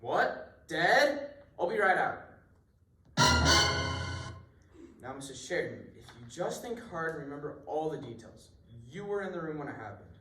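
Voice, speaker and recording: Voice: mid-range voice, big pitch swings, moderate pace, fairly bright tone. An amateur actor playing a courteous, methodical police inspector in a radio mystery. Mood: probing, businesslike. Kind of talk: amateur radio drama acting. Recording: echoey room, distant mic, faint noise.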